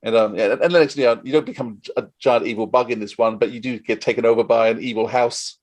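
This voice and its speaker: Fast-talking male interviewer. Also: mid-range voice, steady tone. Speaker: podcast interviewer. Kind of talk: conversational interview.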